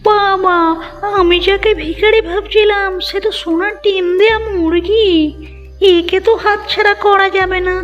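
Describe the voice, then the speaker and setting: Very shrill scheming village aunt. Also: female voice, steady tone, unhurried, a bit bright. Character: scheming, greedy village aunt with false sweetness. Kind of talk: Bengali moral-story cartoon dubbing. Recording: dull audio with music underneath.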